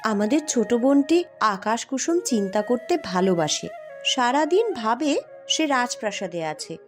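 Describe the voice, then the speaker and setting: A woman voicing a protective, quick-witted eldest princess in a fairy-tale cartoon, with a firm, dramatic, scolding manner. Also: a little high, sing-song, soft background music. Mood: scolding, sly.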